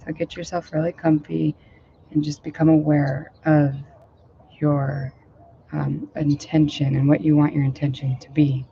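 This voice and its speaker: A woman meditation teacher, a little hoarse today, talking casually and rambling with many fillers before leading a guided meditation outdoors. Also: low-pitched, flat delivery, unhurried, dull audio, a little hiss or hum. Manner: rambling, filler-heavy, gentle. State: slightly hoarse, low voice.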